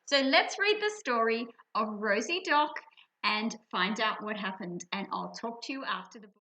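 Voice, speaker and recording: A woman's voice: fairly high pitch, expressive, normal speed, sharp tone, rough recording. Australian woman reading to children. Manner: explanatory, simple clear phrasing for young listeners. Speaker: read-aloud host for children. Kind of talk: picture book read-aloud with explanations for children.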